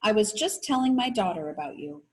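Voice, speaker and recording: A woman voicing a kindly, whimsical magic shop owner and father from a children's book, theatrical and gently teasing. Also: medium pitch, animated, fairly quick, natural voice.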